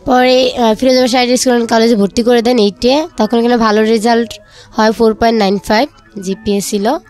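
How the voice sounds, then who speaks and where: A woman's voice: fairly high pitch, steady tone, fast talker, a little mellow, faint music behind. A young woman with a Bangladeshi accent telling her personal life story as an interview guest, a student. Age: young adult.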